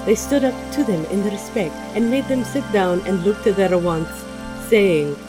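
Casting: female storyteller for audio drama, medium pitch, scripted old-tale narration, clear musical bed. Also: measured pace. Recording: music behind the voice.

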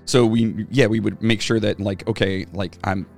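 A man's voice: on the low side, fairly even intonation, normal speed, vocal fry, a little mellow, soft background music. A man co-hosting a casual podcast chat, a former band singer who rambles with plenty of fillers and restarts. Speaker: podcast co-host.

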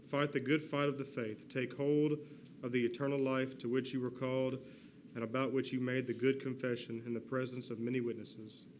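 A man reads scripture in a steady, solemn cadence at a mid-range pitch. His pace is measured and unhurried. A faint hiss of room noise sits behind him. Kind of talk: scripture reading. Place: church sanctuary.

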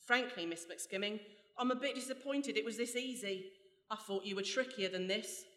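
A woman's voice: medium pitch, normal speed, fairly bright tone, close to an everyday voice. A woman acting a vengeful, gloating criminal mastermind in a radio play, with a taunting, menacing manner. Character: vengeful, gloating female villain, a gun-toting criminal mastermind. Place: stage before a live audience. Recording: echoey hall, live stage.

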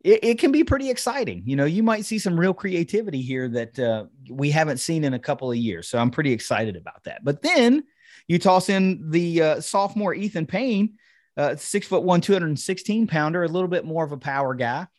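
A man's voice: fairly high pitch, animated, fairly quick, darkish tone, dry recording, studio quality. A man hosting a sports podcast, casually breaking down football in an enthusiastic, conversational way. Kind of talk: casual football analysis chat.

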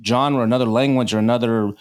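A man hosts a podcast interview in an ordinary mid-pitched voice. He strings out long questions, rephrasing them several times before he finishes. His delivery is casual and conversational. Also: steady tone, fast, a little mellow. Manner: asks long rambling questions, repeats himself. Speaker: podcast host.

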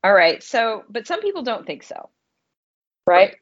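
A woman's voice: on the high side, big pitch swings, measured pace, lo-fi sound. A woman co-hosting a podcast, talking in a casual, opinionated, slangy way about film. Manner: informal, conversational, slangy. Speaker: podcast co-host reviewing films.

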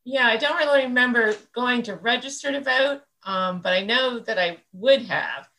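A woman's voice: on the high side, moderate pace, bright, forceful tone, rough recording. A woman in her sixties from central Illinois, an interview guest, recalls the past in a rambling, thoughtful way with many fillers. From central Illinois, United States. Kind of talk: reflective conversational interview.

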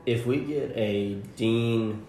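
A man's voice: medium pitch, takes it slowly, mellow. A young man co-hosting a casual chat, joking and easygoing, laughing often. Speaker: podcast co-host. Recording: echoey room with faint hiss.

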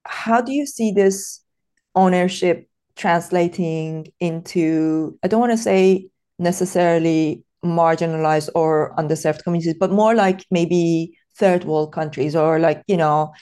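A woman's voice: lowish voice, unhurried, warm tone, clear, resonant tone. A woman from Iran hosting a casual podcast interview, thoughtful and hesitant, with many fillers and restarts.

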